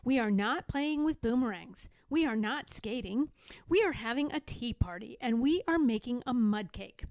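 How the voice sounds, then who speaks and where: A woman's voice: fairly high pitch, expressive, normal speed, darkish tone, no echo. A woman voicing an eager, earnest young badger girl in a children's storybook, plain-spoken and insistent. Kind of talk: children's storybook read-aloud.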